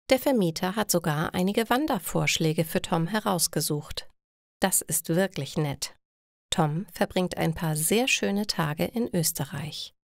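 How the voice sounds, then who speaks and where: Female story narrator for language learners, slightly low register, gravelly edge, clear simple delivery. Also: no room sound, studio quality.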